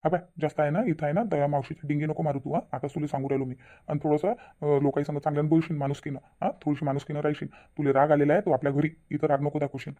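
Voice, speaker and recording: A man's voice: on the high side, little pitch movement, fast, warm tone, close to an everyday voice. Man acting exasperated husband, thin phone-like sound. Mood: admonishing. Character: exasperated husband scolding his blunt wife. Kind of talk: Marathi family comedy cartoon dialogue. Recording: thin phone-like narrow audio.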